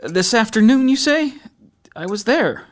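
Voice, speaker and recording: Man playing a high-voiced, officious presidential aide. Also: very animated, a little slow, full character voice. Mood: flustered. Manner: formal, polite, fussy, faltering when challenged. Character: courteous, flustered male presidential aide, officious. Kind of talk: fan-made audio drama acting.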